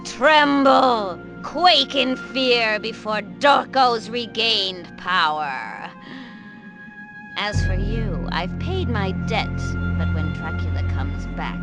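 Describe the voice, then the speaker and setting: A slow, scheming vampire servant. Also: female voice, mid-range voice. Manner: slow, coaxing, then gloating and menacing. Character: scheming, vengeful female servant of Dracula. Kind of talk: game cutscene voice acting. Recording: game audio with clear music score.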